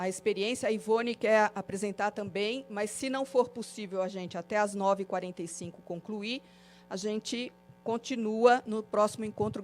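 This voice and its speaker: A woman leading a citizenship training session, lecturing and listing points in a steady rhythm, often adding a questioning filler. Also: medium pitch. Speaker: course facilitator. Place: meeting room of a training course.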